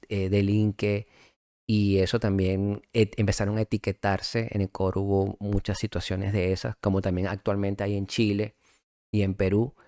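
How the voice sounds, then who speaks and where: A middle-aged man with a Venezuelan accent, telling his story as a guest in a casual interview, relaxed and reflective, with many fillers and restarts. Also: lowish voice, no echo, studio quality.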